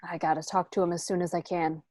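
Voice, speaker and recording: A woman's voice: fairly low pitch, little pitch movement, fast, a little mellow, dry recording, clean studio sound, natural voice. A woman playing a nervous, falsely friendly male gangster in an amateur radio play, urgent and coaxing. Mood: anxious.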